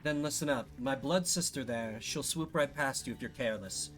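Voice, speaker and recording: A man's voice: medium pitch, moderate pace, fairly bright tone, faint music behind, close to an everyday voice. A man voicing a sly, flirtatious winged harpy woman from a game, with a teasing manner. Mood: confident. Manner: teasing, saying darling.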